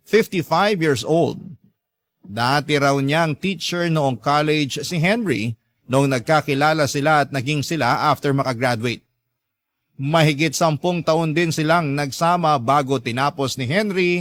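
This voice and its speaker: A man with a Filipino accent hosting and narrating a scripted dramatic story in a measured, sentimental way. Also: on the high side, a bit bright, no echo, clean studio sound. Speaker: storytelling host. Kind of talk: scripted dramatic narration, reading a listener's letter.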